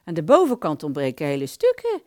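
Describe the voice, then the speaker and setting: A woman's voice: low voice, huge pitch swings, fairly quick, darkish tone, no echo, studio quality. A woman playing a frank, chatty hairdresser in a radio play, with blunt professional patter. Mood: brisk, concerned.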